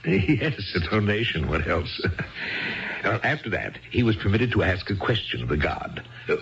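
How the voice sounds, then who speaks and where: An actor playing a bookish, self-absorbed husband with a pedantic, theatrical manner, in a 1970s radio drama style. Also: male voice, low-pitched, fairly bright tone, exaggerated voice. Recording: dull 1970s radio broadcast recording.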